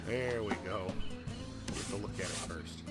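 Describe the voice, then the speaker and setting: A man's voice: fairly low pitch, slow. A man giving casual gameplay commentary in muttered, sardonic asides. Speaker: gamer, commentator. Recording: loud game music behind. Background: loud game music.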